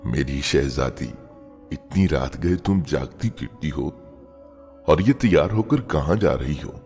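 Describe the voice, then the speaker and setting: A man's voice: rumbling low, lively intonation, measured pace, darkish tone, exaggerated voice. A man voicing a doting, affectionate middle-aged mother in a dramatic moral story. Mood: gentle concern. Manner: affectionate, coaxing. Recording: long reverb, music bed behind.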